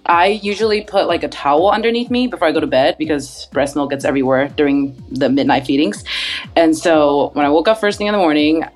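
A young mother telling a personal anecdote as a guest, in an animated storytelling manner. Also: deep voice, brisk. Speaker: podcast guest.

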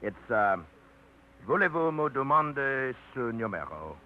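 An actor playing a blunt, well-read man who runs a truck line, dry and low-key, in a 1950s radio drama. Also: big pitch swings, a little slow. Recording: tinny, hissy 1950s radio broadcast.

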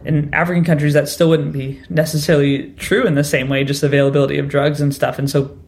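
Higher-voiced man, rambling host. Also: level tone, fast talker, vocal fry. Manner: rambling questions, soft laughs. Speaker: podcast host. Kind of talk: conversational interview.

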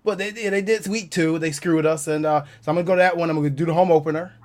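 A man from Buffalo, New York talks quickly in a fairly high voice. He is a guest on a sports podcast, chatting in a casual, conversational way. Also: fairly even intonation.

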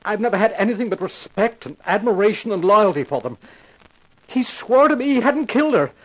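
Anguished, high-voiced confessing wrongdoer. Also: male voice, lively intonation, low-quality recording. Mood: ashamed, pleading. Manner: melodramatic, halting. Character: desperate, anguished male wrongdoer breaking down in confession. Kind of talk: 1950s radio crime drama acting.

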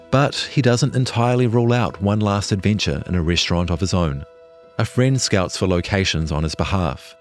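A man with a New Zealand accent narrates a scripted feature in a very low voice. He reads as a journalist telling his own written story. Guitar music plays clearly under his words. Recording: music behind the voice.

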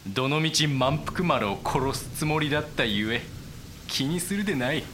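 A man playing a cold, broken Japanese warlord in a period voice drama, speaking archaic lordly lines deliberately with dramatic pauses. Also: on the high side, animated, fairly bright tone, a little hiss or hum. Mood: coldly ruthless. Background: dramatic music.

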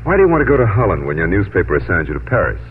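An actor playing a brisk, inquisitive American embassy official in a 1950s radio drama. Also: male voice, low-pitched, very animated. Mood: questioning. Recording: tinny, noisy old radio recording.

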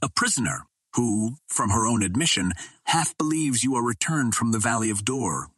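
Male narrator as green Martian chieftain for a storybook: stern, pronouncing, husky, dry sound. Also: medium pitch, expressive, moderate pace, a bit bright, no echo. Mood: stern warning. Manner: formal, commanding, pronouncing.

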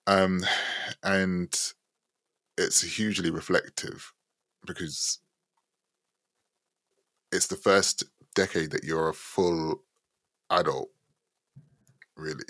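Late-20s guy asking questions, super deep gravelly voice, kinda husky, dry sound. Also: steady tone, slow pace, long pauses, a bit bright, no room sound. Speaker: interviewer. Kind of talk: conversational interview.

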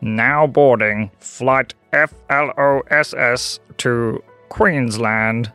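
A formal airport boarding announcer played by a man in a children's audio story. The delivery is extremely slow and drawn out, in a bright, forceful mid-range voice over soft music. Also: medium pitch.

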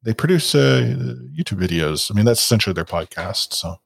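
A man hosts a casual podcast, talking into a podcast mic. His voice sits a bit low, and he rambles with frequent fillers, restarts and self-deprecating asides. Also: lively intonation, brisk, gravelly, slightly rough. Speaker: podcast host.

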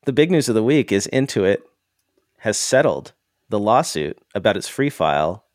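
Low-voiced podcast co-host man, stuffy. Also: very animated, dry recording, studio quality. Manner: measured, explains news with figures. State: stuffed up from a cold. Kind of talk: casual chat.

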